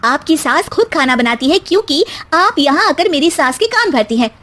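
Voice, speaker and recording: A woman's voice: sits high, very animated, moderate pace, a bit bright, pitched above the performer's own voice. A woman voicing a young newlywed daughter-in-law in a family tale, polite but pointed and firm. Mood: firm, indignant.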